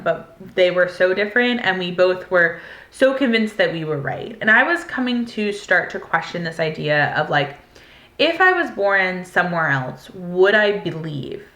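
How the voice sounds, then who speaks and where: A woman's voice: on the low side, fairly bright tone. A woman in her twenties from the United States, telling a personal story in a candid, rambling way with many filler words. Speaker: vlogger. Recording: echoey room.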